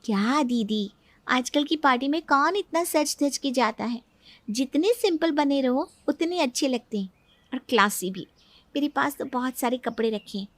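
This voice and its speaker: A woman's voice: sits high, no room sound, lightly acted. A woman voicing a sly, sweet-talking younger daughter-in-law in a cartoon story, coaxing and self-assured. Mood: smug, confiding. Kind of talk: Hindi cartoon story dialogue.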